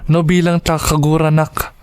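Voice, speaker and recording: A man's voice: a little high, completely flat delivery, normal speed, smooth, no room sound, close to an everyday voice. A man acting a timid, respectful Filipino younger brother in an audio drama, hesitant and polite.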